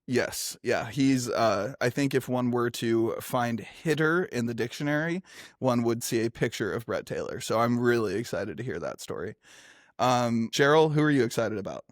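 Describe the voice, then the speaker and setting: A man leads a casual panel chat, upbeat and quick to hype what's coming, leaning on filler words as he goes. His voice sits at an ordinary middle pitch. The sound is dry and close. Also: no echo. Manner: energetic, filler-heavy, enthusiastic. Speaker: moderator of fintech panel.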